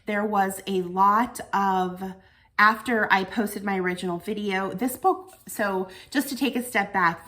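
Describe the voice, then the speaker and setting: A woman's voice: medium pitch, fairly bright tone. An American woman giving a casual book review to viewers in an earnest, reflective, conversational way. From United States.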